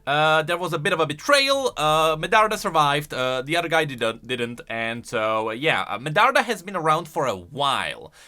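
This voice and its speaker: A man's voice: on the high side, very animated, normal speed, few pauses, pushed and bright. A man giving casual video commentary on game lore as a YouTuber, rambling along and stretching words for emphasis.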